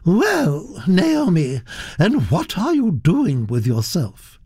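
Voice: medium pitch, huge pitch swings, takes it slowly, warm tone, breathy edge, no room sound, clean studio sound, pitched above the performer's own voice, exaggerated voice. A narrator voicing a blunt, gossipy elderly duchess in an audiobook, her voice lifted well above the narrator's own. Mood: commanding. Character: imperious elderly aristocratic duchess. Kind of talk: audiobook character voice.

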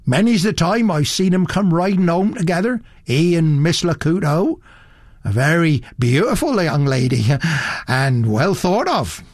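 A man's voice: on the high side, no room sound, studio quality, voice pushed up, heavily acted. A man voicing a big, burly innkeeper of fifty who talks in a folksy, gossipy, ingratiating way. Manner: folksy, gossipy country speech.

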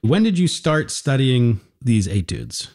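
A radio host interviewing a young musician, speaking gently and encouragingly and explaining musical terms in plain words. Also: mid-range voice, sing-song, unhurried.

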